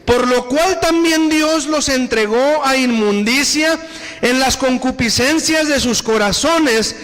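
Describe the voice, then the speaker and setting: A man with a Mexican Spanish accent preaching a sermon. He addresses the congregation again and again and reads scripture slowly and with emphasis. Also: very high voice, fairly bright tone. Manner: preaching with repeated appeals to the congregation, slow emphatic scripture reading. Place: church hall. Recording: reverberant hall.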